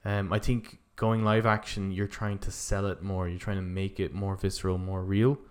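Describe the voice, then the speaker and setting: Lower-voiced man in casual podcast chat. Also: little pitch movement, normal speed. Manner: rambling, thinking aloud, swears casually. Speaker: podcast co-host. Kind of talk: casual opinionated chat about films and games.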